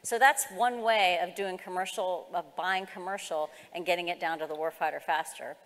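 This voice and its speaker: A woman's voice: fairly low pitch, steady tone, normal speed, a bit bright. A middle-aged American woman giving an explanatory, semi-formal keynote presentation at a conference. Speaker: keynote speaker, government executive. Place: large conference hall, on stage. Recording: reverberant hall sound.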